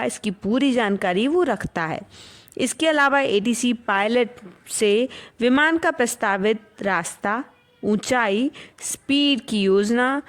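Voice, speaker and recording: A woman with an Indian accent presenting a scripted educational explainer in a clear, explanatory manner. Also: on the high side.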